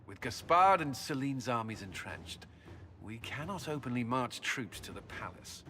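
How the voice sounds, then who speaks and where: An actor playing a serious, matter-of-fact military commander in a video game, crisp and businesslike. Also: male voice, medium pitch, very animated, normal speed, bright, forceful tone, soft background music. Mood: serious briefing.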